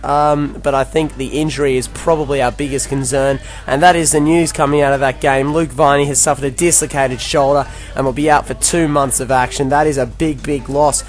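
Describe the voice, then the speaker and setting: A man with a croaky, worn voice gives a casual play-by-play recap of a video game football match. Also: medium pitch, fairly even intonation, a little fast, few pauses, faint music behind. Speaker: gaming commentator.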